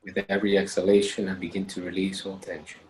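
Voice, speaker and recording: A man leading a guided meditation as instructor, speaking calmly in short measured phrases with long pauses. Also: deep voice, poor audio.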